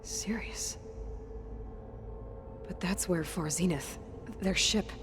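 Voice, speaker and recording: A woman playing a determined, wary young hunter in a video game, asking earnest questions and haltingly thinking aloud. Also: on the low side, music in the background. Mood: stunned, uneasy. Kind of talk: naturalistic video game acting.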